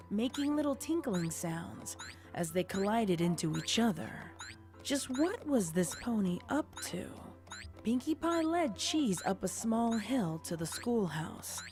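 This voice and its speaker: A woman's voice: mid-range voice, darkish tone. A woman narrating a scripted story as an audio drama narrator.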